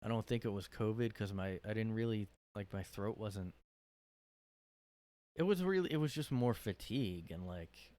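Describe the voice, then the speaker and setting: A man's voice: on the low side, lively intonation, moderate pace, a little mellow, no echo. A man hosting a casual solo podcast, rambling with lots of fillers and sounding worn out after a cold. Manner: rambling, hesitant, many fillers. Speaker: podcast host. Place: his own room.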